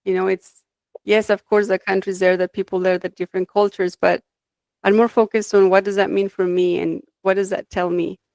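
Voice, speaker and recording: A woman's voice: medium pitch, flat delivery, normal speed, creaky, a little mellow, dry recording. Reflective woman from Mexico, dry sound. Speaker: guest, life design coach. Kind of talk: conversational interview, personal storytelling.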